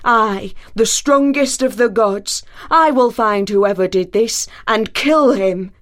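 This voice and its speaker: A woman voicing a mighty male thunder god who vows revenge forcefully. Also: high voice, sing-song, unhurried, pitched above the performer's own voice. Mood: raging. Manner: forceful, commanding.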